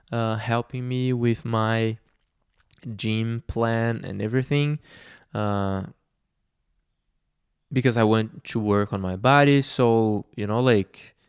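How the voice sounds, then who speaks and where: Man, slow unhurried talk, very clear dry voice, hesitant with fillers. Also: medium pitch, dry recording. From Brazil. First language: Portuguese. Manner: hesitant, many fillers and restarts. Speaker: vlogger. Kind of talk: reflective personal talk.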